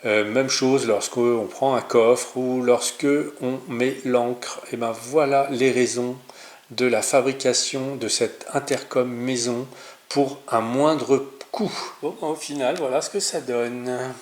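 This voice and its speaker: A man's voice: mid-range voice, steady tone, slow pace. A man giving an informal hands-on DIY tutorial as a channel host, speaking in an improvised, hesitant way and often correcting himself. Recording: roomy echo, clear background noise, clicks.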